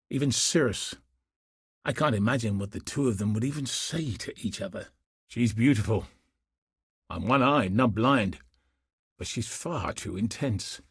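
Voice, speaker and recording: A battle-worn veteran tracker from a fantasy video game. His voice is husky and gruff, recorded dry and close. He speaks in measured phrases with a world-weary, wry edge. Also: fairly low pitch, no room sound, full character voice. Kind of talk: scripted video game dialogue.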